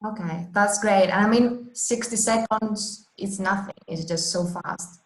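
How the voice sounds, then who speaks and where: A woman's voice: medium pitch, measured pace. A woman conducting an informal interview, asking questions as the interviewer. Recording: roomy, echoing interview recording.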